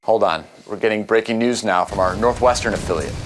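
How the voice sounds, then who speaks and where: Casting: man to play a serious TV anchor for a game, fairly deep voice, breaking-news delivery. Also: brisk, loud music behind the voice, natural voice. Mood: shaken. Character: grave male television news anchor.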